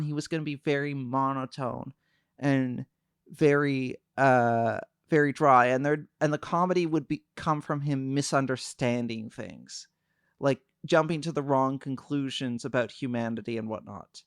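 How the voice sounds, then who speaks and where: A young man talks calmly to his stream audience, pausing often with fillers and restarts. His voice sits a little high for a man and sounds smooth and clean, recorded close with a dry, dead sound. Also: no echo. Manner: calm, soft-spoken, hesitant with many fillers. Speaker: VTuber streamer. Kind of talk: casual livestream chat.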